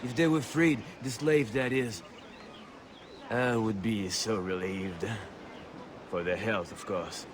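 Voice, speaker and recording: An actor playing a sly, self-serving trader in a video game, speaking in a wry, insinuating way. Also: male voice, fairly low pitch, slow, pauses often. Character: sly, self-serving male fishing trader striking bargains. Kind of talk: dramatic game cutscene acting. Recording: noisy outdoor game ambience behind.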